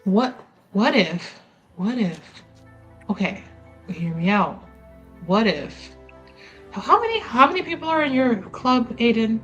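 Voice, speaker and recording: A woman's voice: fairly high pitch, expressive, unhurried, pauses often, a bit bright, muffled recording, faint music behind. A woman playing a tabletop roleplaying game, thinking out plans aloud with hesitant restarts. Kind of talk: planning chat at a game table.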